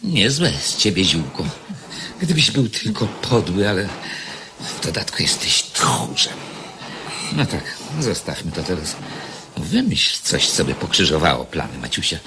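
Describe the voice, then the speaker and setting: A man's voice: sits low, very animated, measured pace, bright, forceful tone, a little hiss or hum, full character voice. An actor playing a sly, domineering enemy king in a radio drama, with a mocking, commanding, theatrical delivery. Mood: mocking, threatening.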